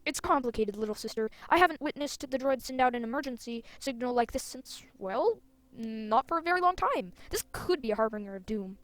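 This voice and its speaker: A solemn, mysterious older sister from a fantasy game, put on by a woman. She speaks slowly and ominously, close and dry. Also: fairly high pitch, animated, fairly bright tone, dry recording.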